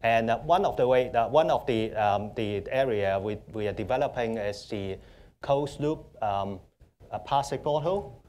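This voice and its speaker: Hong Kong-accented man, halting panelist. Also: mid-range voice, darkish tone. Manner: halting, many fillers and restarts. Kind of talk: panel discussion. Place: conference hall stage.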